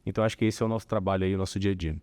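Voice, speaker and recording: A man from Bahia with a Bahian accent, chatting as a corporate guest in an interview, relaxed and full of small filler tags. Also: low-pitched, racing, clear, resonant tone. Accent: Bahian Portuguese. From Salvador, Bahia, Brazil. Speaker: corporate tax director, guest. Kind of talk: casual interview conversation.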